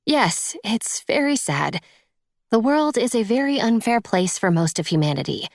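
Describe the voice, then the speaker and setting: A woman's voice: fairly high pitch, big pitch swings, normal speed, creaky, no echo, studio quality, voice pushed up, heavily acted. A woman voicing an awkwardly compassionate, sardonic young stepdaughter in an audiobook, speaking in a hesitant, placating way.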